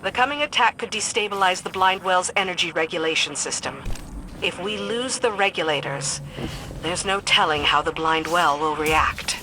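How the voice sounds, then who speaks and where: An actress playing a loyal, dutiful lieutenant of a queen in a video game cutscene, speaking in a formal, brisk, resolute manner. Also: on the low side, background noise, natural voice.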